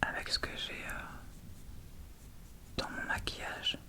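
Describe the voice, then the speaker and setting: A woman whispering in a breathy voice, chatting casually and a little hesitantly as she gives an ASMR makeup tutorial step by step. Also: slow, sharp tone. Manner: hesitant, improvised step-by-step commentary. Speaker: ASMR video creator.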